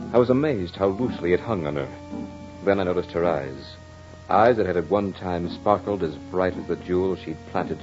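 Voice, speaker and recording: Actor as deep-voiced brooding nobleman, vintage radio horror. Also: natural voice. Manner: formal, grave, theatrical delivery. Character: brooding French nobleman husband, narrating his tale of dread. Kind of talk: old-time radio horror acting, dramatic first-person narration. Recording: muffled old radio recording with music.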